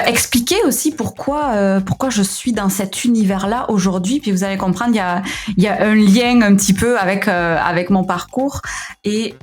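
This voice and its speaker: A young woman with a southern French accent, a guest answering interview questions in a relaxed way, with frequent fillers and restarts. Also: mid-range voice. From southern France. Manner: hesitant, many fillers and restarts, laughs often. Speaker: podcast guest, founder of a digital wellbeing company. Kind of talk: conversational interview.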